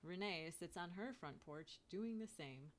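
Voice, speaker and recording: A woman reading a children's picture book aloud in an expressive way, turning sing-song on chants. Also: on the low side, unhurried. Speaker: storybook reader.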